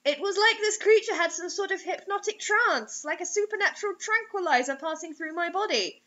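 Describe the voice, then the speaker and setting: Theatrical young woman, high bright voice. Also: animated, a little fast. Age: young adult. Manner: theatrical, overwrought. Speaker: co-host reading bad fiction aloud. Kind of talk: dramatic mocking read-aloud with asides. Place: small indoor room. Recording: dull lo-fi webcam sound.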